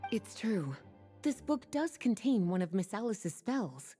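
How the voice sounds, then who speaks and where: A woman voicing a poised, wry librarian in a video game who speaks in a languid, gently teasing way. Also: mid-range voice, sing-song, a little slow, husky. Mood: calm. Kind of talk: game voice acting. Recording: soft game music underneath.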